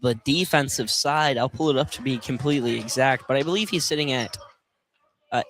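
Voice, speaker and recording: A man giving conversational live basketball analysis as color commentator, with halting phrasing. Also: medium pitch, fairly bright tone. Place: indoor college field house, courtside. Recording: live gym broadcast with crowd. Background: game crowd.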